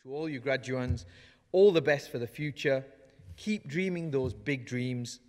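Earnest male speaker, measured delivery, big reverberant hall. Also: mid-range voice. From North West England. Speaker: award recipient giving a graduation speech. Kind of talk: prepared ceremonial speech. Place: large wood-panelled ceremony hall. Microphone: lectern microphones.

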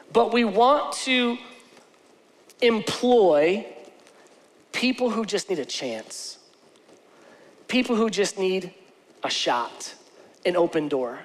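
A man in his forties, a pastor giving a conversational, earnest sermon from a church stage, often restarting his sentences. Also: high voice. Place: large church stage with lighting. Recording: church hall reverb.

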